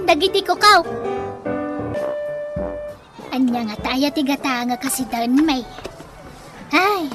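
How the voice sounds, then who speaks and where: Very high, shrill Ilocano wife. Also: female voice. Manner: nagging, exclamatory. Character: vain, scolding middle-aged wife and mother, quick to snap. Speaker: radio drama actress. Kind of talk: Ilocano radio comedy drama acting.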